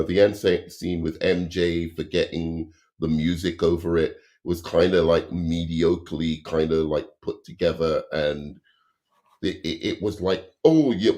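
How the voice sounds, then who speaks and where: Casual debate host: very deep man with a strained, fading voice, halting, analytical, many restarts. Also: warm tone. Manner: analytical, explains at length. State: losing his voice. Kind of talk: casual movie review debate.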